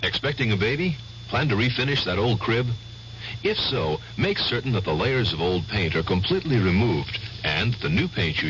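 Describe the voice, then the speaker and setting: A man reading a scripted public service announcement in a measured, instructive way. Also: fairly low pitch. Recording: dull, hissy old radio broadcast.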